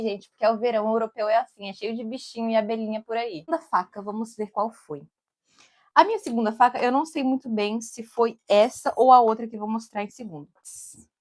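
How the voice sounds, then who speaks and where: A woman's voice: a little high, darkish tone. A young Brazilian woman from Brasília with that city's accent. She cooks and vlogs, and talks in a rambling, casual way outdoors in summer. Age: young adult. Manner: rambling and digressive, keeps saying "tipo" and "amigos". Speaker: cook and vlogger. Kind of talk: casual chatty vlog. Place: outdoors in summer, with bees around.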